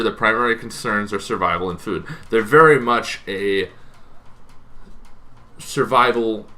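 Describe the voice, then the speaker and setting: A man's voice: mid-range voice, sharp tone. A man explaining tabletop game monsters in a casual, semi-improvised way, correcting himself and adding informal asides as he goes. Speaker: host of a tabletop gaming channel.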